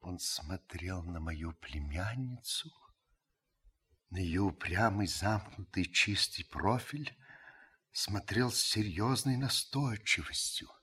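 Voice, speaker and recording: An actor playing an observant, reserved old French uncle who narrates a radio play in a measured, literary way. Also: male voice, sits low, animated, pushed and bright, slightly rough, heavily acted. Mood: watchful, restrained.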